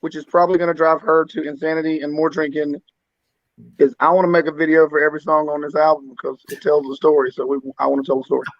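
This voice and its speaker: A man's voice: a little high, level tone, quick pace, dry recording. A man speaking as a musician guest in casual chat, with a blunt, joking way of talking. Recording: dull, dry lo-fi call audio.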